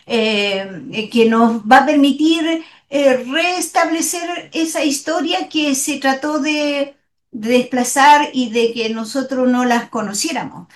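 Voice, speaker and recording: Guest: high-pitched woman, earnest and measured, echoey room. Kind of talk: conversational interview.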